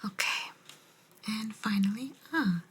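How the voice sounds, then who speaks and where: Slow whispering woman giving an ASMR lesson. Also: mid-range voice. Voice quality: soft, breathy whisper. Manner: slow, gentle repetition of words. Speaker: host teaching Italian vocabulary.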